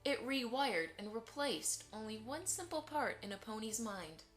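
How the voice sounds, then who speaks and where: A woman's voice: fairly high pitch, lively intonation, normal speed, fairly bright tone, faint music behind. A woman playing a formal, courteous and pointedly inquisitive pony publisher in a fan audio drama. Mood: probing, insinuating. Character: polite, prying pony publisher, a probing female socialite.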